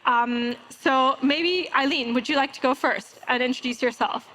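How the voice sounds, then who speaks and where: A woman's voice: high voice, normal speed, fairly bright tone, poor audio. A woman moderating an investor panel on a conference stage in a conversational style. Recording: faint ambient noise, live event stage.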